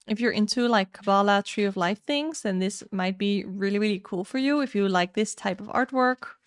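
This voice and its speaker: A young woman whose first language is Dutch talks through new tarot decks in a frank, chatty vlog manner. Her voice sits at a middling pitch and is recorded close and dry, with no room sound. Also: no echo. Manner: candid, chatty, frank opinions. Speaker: tarot YouTuber. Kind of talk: casual deck review.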